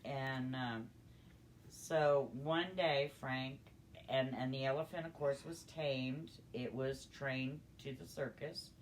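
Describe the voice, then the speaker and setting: A woman's voice: extremely low pitch, fairly even intonation, takes it slowly. Older woman reminiscing, noticeable background noise. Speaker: storyteller grandmother. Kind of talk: personal reminiscence, conversational storytelling.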